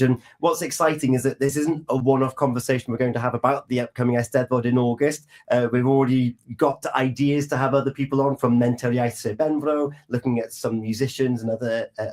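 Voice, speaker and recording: A man from Wales, hosting a breakfast radio show in casual, lively chat. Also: mid-range voice. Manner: chatty, lively questions with warm asides.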